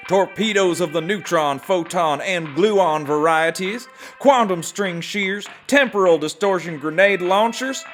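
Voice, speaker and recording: A man's voice: sits high, a bit bright. A man playing a folksy, eager gun-shop salesman in a comedic sci-fi audio drama, rattling off sales patter.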